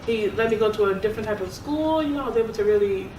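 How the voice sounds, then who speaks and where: A woman's voice: medium pitch, expressive, very fast, a little mellow. A young woman who grew up in South Korea, chatting casually as an interviewee, laughing often and restarting her sentences. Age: young adult. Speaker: interviewee, club secretary. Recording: echoey room, distant mic, noisy.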